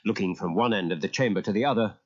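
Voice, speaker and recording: A man giving an animated narration of a children's story as a storyteller. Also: fairly low pitch, fast talker. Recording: muffled old audio cassette.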